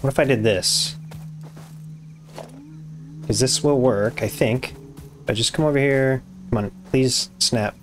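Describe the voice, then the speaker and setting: A hoarse young man with a raspy voice, casually commenting on his game as he builds, muttering to himself and swearing when things go wrong. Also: mid-range voice, measured pace, pauses often, soft background music. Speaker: gaming YouTuber. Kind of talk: casual let's-play commentary.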